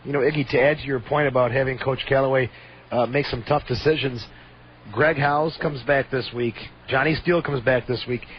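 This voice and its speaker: Upbeat sports radio host. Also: male voice, medium pitch, steady tone, a little fast. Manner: upbeat, moves the show along. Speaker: call-in show host. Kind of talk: sports radio call-in show. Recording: dull lo-fi radio audio, faint noise.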